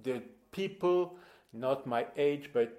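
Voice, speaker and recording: A man from Bordeaux with a French accent, chatting as an interview guest in halting English and searching for his words. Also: mid-range voice, lively intonation, mellow. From Bordeaux, France. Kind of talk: casual conversational interview.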